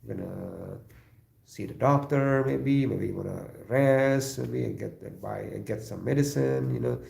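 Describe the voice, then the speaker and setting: Gravelly Indonesian man, halting and echoey. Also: medium pitch, a little mellow. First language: an Indonesian regional language. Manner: halting, many fillers and throat clearing, laughs often. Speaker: livestream host. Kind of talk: casual chat with live chat viewers.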